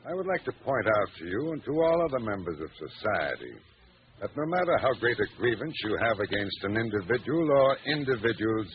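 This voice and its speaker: An actor playing a stern, solemn judge in an old radio drama, formal, deliberate and moralizing. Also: male voice, fairly low pitch, very animated. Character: stern, solemn male judge passing sentence. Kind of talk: 1940s radio drama acting. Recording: dull old radio recording, faint noise.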